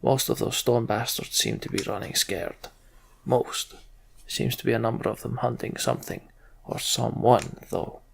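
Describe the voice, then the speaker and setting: A man voicing a grim, centuries-old giant warrior from a fantasy game, bitter and blunt, with a gravelly, husky voice. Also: mid-range voice, normal speed.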